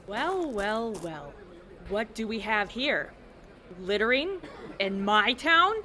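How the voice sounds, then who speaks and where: A woman acts a hostile female enforcer in a wasteland audio drama. Her voice sits fairly high, and she speaks with sneering authority, accusing and suspicious of outsiders. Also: sing-song, slow, background noise. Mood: mocking suspicion. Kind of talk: post-apocalyptic audio drama acting.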